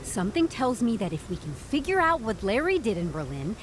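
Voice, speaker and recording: High-pitched, insistent amateur sleuth. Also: female voice, big pitch swings, a little fast, slight background noise. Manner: insistent, probing. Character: persistent, wry female amateur investigator. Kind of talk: scripted audio drama dialogue. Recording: loud storm ambience behind voice.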